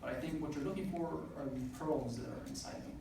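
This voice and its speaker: A man's voice: mid-range voice, brisk, gravelly. A man in his twenties giving an earnest motivational speech at a business event, with frequent "uh" fillers. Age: 20s. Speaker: speaker at a student business event. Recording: echoey hall recording from far off, noisy.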